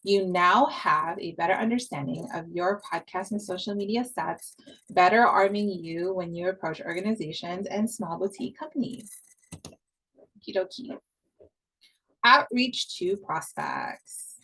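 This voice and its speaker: A woman's voice: fairly low pitch, a little slow, fairly bright tone, slightly rough, rough recording. A woman from Hawaii giving a casual online course on podcast sales, chatty and upbeat, repeating her points and swearing casually. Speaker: podcast coach.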